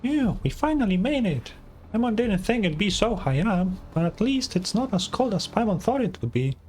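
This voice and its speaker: Small fretful game fairy, played by a man: high, chirpy voice, swooping and excitable. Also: normal speed, slight background noise. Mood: excited, wondering. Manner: chirpy, exclamatory, questioning. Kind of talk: video game dialogue read aloud.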